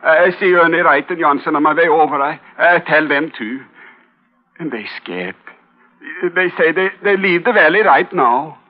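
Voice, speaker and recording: An actor playing an anxious, gentle immigrant homesteader in a radio drama. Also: male voice, on the high side, moderate pace, natural voice. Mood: shaken. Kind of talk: 1950s radio drama acting. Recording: muffled old radio recording.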